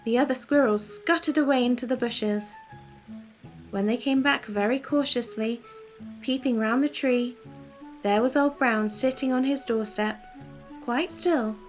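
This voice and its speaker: Woman storybook narrator, high voice, soft-spoken, gentle, calm bedtime reading, faint music underneath.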